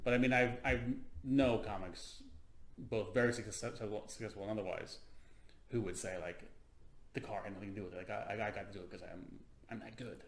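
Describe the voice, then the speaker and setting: A man's voice: fairly low pitch, steady tone, brisk. A man hosting a casual interview about comedy, talking in a rambling way with many fillers and restarts. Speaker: podcast host, comedian. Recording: roomy echo.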